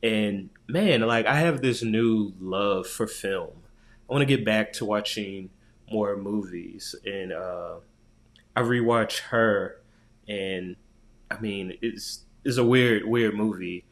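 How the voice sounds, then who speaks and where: A man's voice: on the low side, slow. A man from the United States co-hosting a podcast in a laid-back, rambling, casual way. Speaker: podcast co-host. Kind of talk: casual chat.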